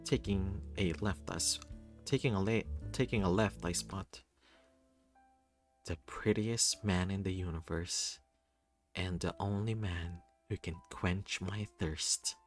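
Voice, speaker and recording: A man reading a first-person visual novel aloud in an expressive, theatrical way. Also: sits low, slow. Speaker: reader voicing a visual novel. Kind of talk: dramatic first-person story narration. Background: music.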